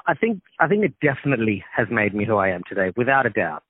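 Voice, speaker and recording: A man's voice: on the low side, quick pace, breathy edge, no room sound. Australian man on a tinny phone line. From Sri Lankan family, grew up in Australia. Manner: relaxed, chatty, laughs easily, lots of ums and likes. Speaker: actor and comedian, guest. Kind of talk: phone interview.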